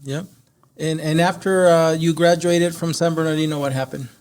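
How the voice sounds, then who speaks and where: A man's voice: fairly high pitch, steady tone, moderate pace, darkish tone, clean tone. A man hosting a podcast, speaking in a conversational interview style, a non-native English speaker. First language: not English. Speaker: podcast host.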